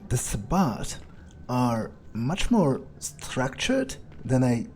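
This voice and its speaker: A man's voice: medium pitch, sing-song, extremely slow, a little mellow, a little hiss or hum. A man role-playing a cynical, arrogant vampire in a game playthrough, musing aloud in a casual, hesitant way. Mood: musing, polite. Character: cynical, arrogant male vampire thinking aloud, coolly skeptical. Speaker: let's player role-playing in character. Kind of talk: in-character game playthrough commentary.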